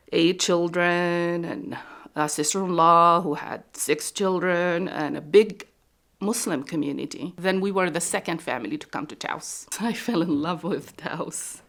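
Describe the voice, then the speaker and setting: Slow-speaking woman from Palestine. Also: lowish voice. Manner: slow, animated storytelling. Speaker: interviewee. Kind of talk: documentary interview.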